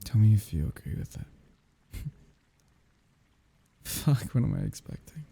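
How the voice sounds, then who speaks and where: Guy acting as a heartbroken man at his lover's grave, asmr roleplay, lowish super breathy husky voice, halting and tender, whispery. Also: big pitch swings, mellow, full character voice. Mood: subdued, pleading, then resigned, tender. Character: grieving man saying farewell at his dead lover's grave. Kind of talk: intimate ASMR roleplay monologue.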